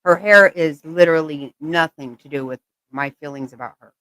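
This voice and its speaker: A woman's voice: low voice, moderate pace, fairly bright tone, no echo, low-quality recording. A woman giving blunt, exasperated reaction commentary, swearing often. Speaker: channel host reacting to clips.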